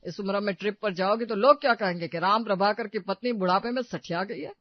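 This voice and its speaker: A man's voice: sits high, fast talker, no room sound, lo-fi sound, natural voice. A man playing a stern, scolding old patriarch in a family audio story, domineering and indignant.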